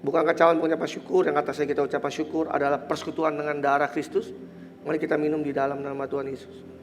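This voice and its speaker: An Indonesian-accented pastor with a somewhat high, stuffy nasal voice preaches to a congregation. His words ring out through a large hall with a long reverb tail, over a faint hiss. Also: flat delivery, quick pace. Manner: mixes English phrases into speech, rises to emphatic preaching; soft murmured prayer. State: congested, nasal voice. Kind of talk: church sermon with communion and prayer.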